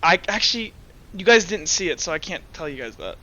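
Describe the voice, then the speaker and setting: A man's voice: high voice, lively intonation, fairly quick, sharp tone, rough recording. A man acting as game master, narrating a tabletop roleplaying game in an informal, hesitant, wordy way.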